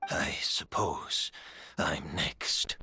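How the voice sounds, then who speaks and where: Slow-spoken, weary gentleman scholar. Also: very deep voice, faint music behind, exaggerated voice. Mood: resigned. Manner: slow, formal, reflective with pauses. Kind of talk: dramatic video game voice acting.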